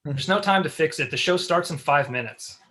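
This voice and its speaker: A man playing a blunt, deadpan show colleague in a comedy table read. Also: a little high, brisk.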